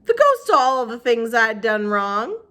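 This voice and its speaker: A woman voicing a kindly, weary old woman who speaks in a gentle, folksy, plain-spoken way. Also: fairly high pitch, sing-song, normal speed, voice pushed up. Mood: kindly, knowing. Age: older.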